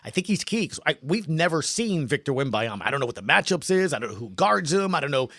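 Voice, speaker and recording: An animated man hosts a sports talk podcast with a slightly high voice that swings widely in pitch. He is emphatic and punchy, leaning on rhetorical questions to drive his points home. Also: fast, dry recording, clean studio sound.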